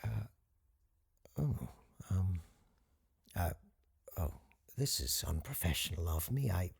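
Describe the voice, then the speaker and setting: A man playing a flustered, forgetful parapsychologist who hosts a paranormal radio call-in in an audio drama, stammering apologetically in a gravelly, husky voice. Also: deep voice, sing-song, takes it slowly, exaggerated voice. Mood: flustered, confused.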